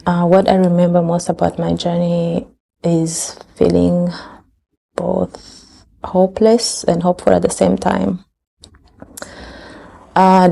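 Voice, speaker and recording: A woman from Rwanda recalling her past in a slow, measured, flat personal testimony. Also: fairly low pitch, vocal fry. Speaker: interviewee.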